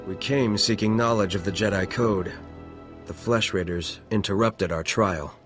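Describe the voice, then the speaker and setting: Game casting: male actor as stern, pacifist apprentice monk, low and measured, music faintly behind. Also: fairly even intonation, close to an everyday voice. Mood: grave, disapproving. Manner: formal, measured, grave. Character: grave, principled male apprentice monk, disapproving. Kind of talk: video game dialogue.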